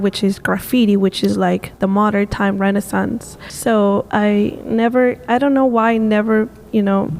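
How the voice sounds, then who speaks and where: Eager young Peruvian woman, flat-toned. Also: medium pitch, a little hiss or hum. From Peru. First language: Spanish. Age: 20s. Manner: eager, rambling with fillers and restarts. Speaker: guest, street art tour guide. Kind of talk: casual interview answers.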